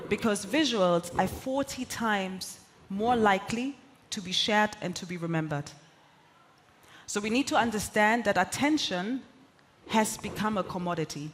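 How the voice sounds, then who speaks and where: A woman's voice: mid-range voice, slight background noise. A woman whose first language is German gives an earnest, emphatic conference talk, building her points in repeated lists. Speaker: keynote speaker.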